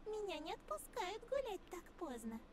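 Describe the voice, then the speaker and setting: A woman's voice: very high-pitched, big pitch swings, moderate pace. A woman playing a sly, teasing young forest girl in a fox mask, with mocking and menacing turns, in a game. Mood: sly, confiding. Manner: playful, mocking, slipping into menacing whispers. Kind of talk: visual novel game voice acting.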